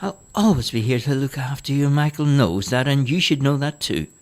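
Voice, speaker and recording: A man's voice: medium pitch, animated, moderate pace, voice pushed up, lightly acted. A man voicing a patient, weary grown daughter in a storybook reading, soothing and exasperated by turns.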